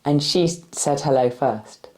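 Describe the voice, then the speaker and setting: A woman's voice: very deep voice, unhurried, a little mellow. A tired woman in her forties from West London, with a West London accent and a slight Irish-tinged R. She answers interview questions thoughtfully, with many fillers and restarts, and her voice sounds a little cracky. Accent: West London with a slight Irish-influenced R. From West London, England. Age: 40s. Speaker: interviewee, movement practitioner.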